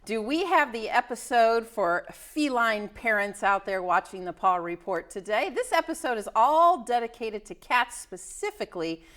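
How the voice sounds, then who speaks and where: A woman hosting a pet show, chatty and quick to laugh along with her guest. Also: a little high, animated, fairly bright tone. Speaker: show host.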